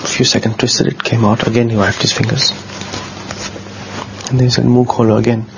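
A man with a bassy low voice, telling a personal story in a casual, earnest way, often halting and repeating words. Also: little pitch movement, creaky. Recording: dull lo-fi sound with faint noise.

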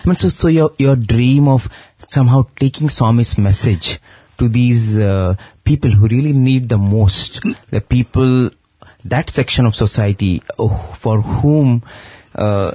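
Male interviewer, attentive and affirming; husky voice, dry lo-fi recording. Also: medium pitch, a little slow, no echo. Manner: attentive, frequent murmured agreement. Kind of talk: conversational interview. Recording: dull muffled lo-fi sound.

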